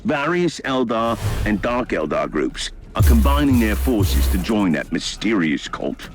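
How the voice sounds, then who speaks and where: Lilting, sardonic game advisor. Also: male voice, on the low side, very animated, soft background music. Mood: wry. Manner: precise, measured, wry. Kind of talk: dramatic video game cutscene acting.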